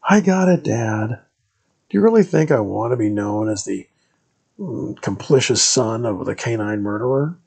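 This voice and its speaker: A man's voice: lowish voice, animated, normal speed, vocal fry, darkish tone, slightly rough, full character voice. A man voicing a clever, sarcastic boy nearing his teens, exasperated with his father.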